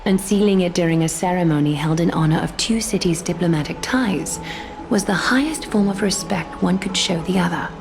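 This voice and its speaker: A woman voicing a composed, regal fantasy leader in a video game, speaking in a formal, measured, stately way. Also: fairly low pitch, gravelly. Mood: stately, gracious. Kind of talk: scripted video game voice acting. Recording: music behind the voice.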